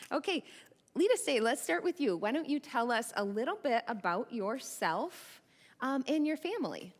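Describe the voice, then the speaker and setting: A woman pastor welcomes viewers to a livestreamed forum from inside a church, her voice ringing with a long hall reverb. She talks in a friendly, chatty way at an everyday pitch.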